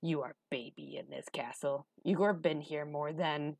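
Woman acting ancient male dungeon hunchback, low gravelly growl, gruff childlike temper, broken halting speech, storybook character. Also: fairly even intonation, darkish tone, no room sound, heavily acted. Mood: simple, matter-of-fact.

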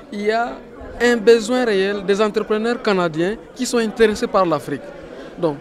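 A man of about forty, an event organiser, answering an on-camera interview. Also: sits high. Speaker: convention organiser. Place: large hotel conference hall. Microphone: handheld reporter microphone. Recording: faint crowd chatter behind.

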